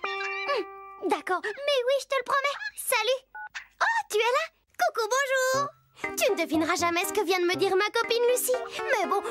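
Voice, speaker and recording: A woman voicing a bubbly, talkative little girl who tells stories playfully to young cartoon viewers. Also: very high-pitched. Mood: surprised. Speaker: storyteller host of a children's cartoon. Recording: loud music behind the voice.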